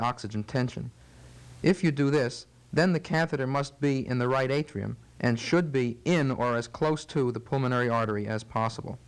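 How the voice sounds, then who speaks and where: A man speaking as a physician in a teaching interview, explaining things formally, precisely and methodically. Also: mid-range voice. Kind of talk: measured medical teaching interview.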